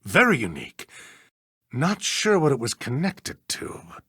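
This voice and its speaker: An older small-town judge in a mystery game. He is fussy, apologetic and evasive, with very animated, swooping pitch and a dry, close sound. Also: measured pace, husky, dry recording, heavily acted. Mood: earnest, worried. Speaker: voice actor. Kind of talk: scripted mystery game dialogue.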